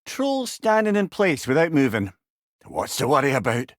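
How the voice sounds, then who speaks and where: An actor playing a wry, clever older male storyteller companion in a video game, with a Scottish accent and a droll, expressive manner. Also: mid-range voice, moderate pace, no room sound, clean studio sound. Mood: droll, curious. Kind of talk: video game character dialogue.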